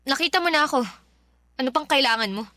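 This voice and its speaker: Radio drama ex-girlfriend: young, cold, fed up, high and forceful, sarcastic. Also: quick pace, no echo. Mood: cold, annoyed. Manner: scolding, blunt, sarcastic. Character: Yeri, cold, exasperated young woman confronting her ex. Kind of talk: Filipino radio drama acting.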